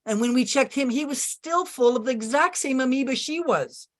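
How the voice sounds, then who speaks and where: A woman's voice: high-pitched, moderate pace. Confident woman giving folksy health advice. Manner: confident, folksy, uses sayings. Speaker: natural health practitioner. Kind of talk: advice on a video call Q&A.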